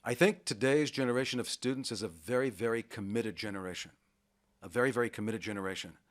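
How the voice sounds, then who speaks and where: A man's voice: on the low side, fast. Middle-aged man, radio interviewee, enthusiastic, talkative, occasional restarts. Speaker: university vice president, interviewee.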